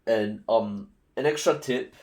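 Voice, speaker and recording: A man's voice: lowish voice, measured pace, darkish tone. A young man from Singapore with a Singaporean English accent, giving casual, rambling study advice full of fillers and restarts. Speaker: student tutor.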